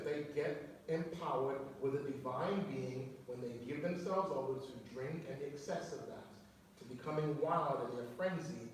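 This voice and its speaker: A man teaching a sermon to his fellowship, explaining words and asking the listeners questions. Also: medium pitch. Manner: explanatory, reads quotes aloud, asks listeners questions. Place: church hall. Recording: very echoey room, mic far off, faint noise.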